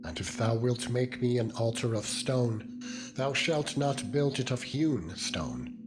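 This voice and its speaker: A man voicing God as a solemn, commanding lawgiver in a scripture drama, stately and deliberate. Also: mid-range voice, animated. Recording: background music under the voice.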